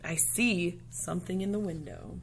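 Expressive woman reading a picture book aloud. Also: lowish voice, normal speed, a little hiss or hum, poor audio.

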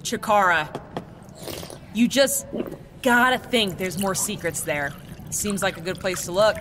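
A woman's voice: medium pitch, measured pace, noisy background, lightly acted. A woman playing a brash, combative fighter in a fantasy audio drama.

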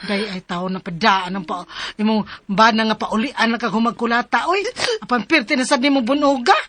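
A woman's voice: fairly high pitch, normal speed, fairly bright tone. A woman playing an exasperated, caring friend in a radio drama, exclaiming and scolding, with a Cebuano Filipino accent.

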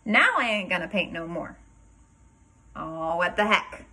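Bright, playful woman reading to kindergarteners. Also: on the low side, expressive. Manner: dramatic pauses before rhymes, asks listeners questions. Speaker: kindergarten teacher reading aloud. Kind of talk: animated picture-book read-aloud for young children, sing-song rhymes.

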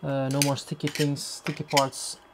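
A Flemish-accented man in his thirties from Belgium, speaking English haltingly while cleaning a shredder in a workshop. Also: medium pitch, completely flat delivery. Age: 30s. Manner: halting, restarts phrases, searches for English words. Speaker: channel host. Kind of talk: unscripted workshop talk while working. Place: workshop by a running industrial shredder. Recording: machine noise and clatter behind.

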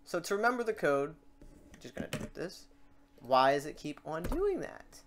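A man's voice: a little high, big pitch swings, normal speed, a bit bright. Man rambling through a tutorial, noisy mic. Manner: rambling, thinking aloud while working. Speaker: tutorial host. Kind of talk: casual software tutorial narration. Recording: clearly noisy mic, keyboard clicks. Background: typing and mouse clicks.

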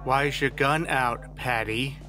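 A man's voice: medium pitch, steady tone, measured pace, pushed and bright, faint music behind. An actor playing a middle-aged small-town lawman father, distraught and pleading, stammering and repeating himself in an audio drama. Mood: tense, reproachful.

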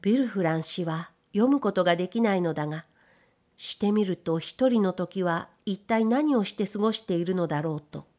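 Female narrator, medium pitch, for scripted literary audiobook; measured storytelling delivery. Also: expressive, a little mellow.

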